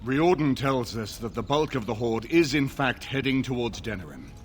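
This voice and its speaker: A man's voice: medium pitch, lively intonation, normal speed, slight background noise, close to an everyday voice. An actor playing a commanding, courteous older nobleman in a fantasy video game, speaking formally and with stately authority. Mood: stern, courteous.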